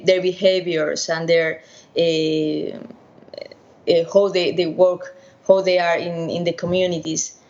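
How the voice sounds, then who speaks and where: A middle-aged woman with a Spanish accent, a veterinarian answering interview questions haltingly over an internet call. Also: fairly low pitch, muffled recording. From Spain. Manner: halting, many restarts and fillers. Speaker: veterinarian and primatologist guest. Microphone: video call.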